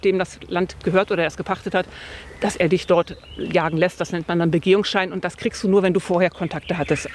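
A woman in her fifties talks in a relaxed, thoughtful way about hunting, full of little fillers. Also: fairly low pitch. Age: 50s. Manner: thoughtful, lots of fillers like tatsächlich and also. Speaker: hunter interviewed about her hobby. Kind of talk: relaxed conversational storytelling. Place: outdoors by a field.

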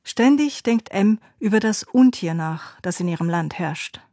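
A woman presenting a radio culture feature, reading a scripted narration in a calm, measured way. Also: mid-range voice, no echo, studio quality.

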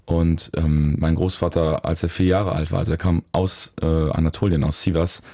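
A man, a musician, talking reflectively in an interview, searching for words with pauses and restarts. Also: low voice, flat delivery, warm tone, dry recording, studio quality. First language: German. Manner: thoughtful, searching, with fillers and restarts. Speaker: musician guest.

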